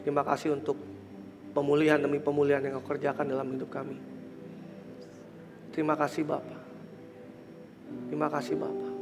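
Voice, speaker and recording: A man's voice: on the high side, in a large hall. A man with an Indonesian accent and a congested, nasal voice, preaching a church sermon and leading prayer, mixing in English phrases. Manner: mixes English phrases into speech, rises to emphatic preaching; soft murmured prayer. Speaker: pastor. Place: large church hall.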